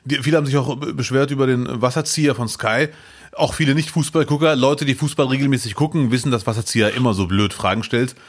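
A man co-hosting a podcast in casual chat, lively and jokey, swinging between loud outbursts and low mutters. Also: fast talker. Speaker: podcast co-host. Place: near Duisburg.

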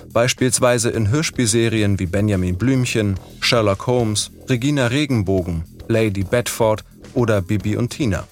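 Tieferer männlicher Sprecher mit Musik dahinter. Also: male voice, on the low side. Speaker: podcast narrator introducing the guest. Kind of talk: scripted narration.

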